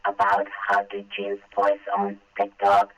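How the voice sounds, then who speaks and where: A woman's voice: a bass voice, animated, measured pace, vocal fry, a bit bright, voice pushed down, heavily acted. A woman's recorded greeting turned into a robot voice by an app's voice filter. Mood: flat greeting. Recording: muffled, echoey phone app playback.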